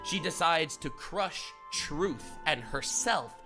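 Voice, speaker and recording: A man with a fairly high voice presents a scripted video essay. He is emphatic and leans on repeated phrases to build his analysis. Music plays clearly behind him. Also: lively intonation, slow pace. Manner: emphatic, rhetorical repetition.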